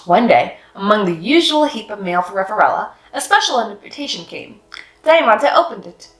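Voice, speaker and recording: A woman reading a children's picture book aloud in an expressive, sing-song way. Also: medium pitch, moderate pace. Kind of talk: storybook read-aloud. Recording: echoey room with faint music.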